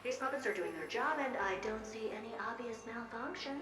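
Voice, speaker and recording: A woman playing a polite, expressive, easily flustered living mirror assistant in a video game, with a theatrical, deferential delivery. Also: on the high side, a little fast, natural voice. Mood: polite, musing. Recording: roomy, distant, game music behind. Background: game music.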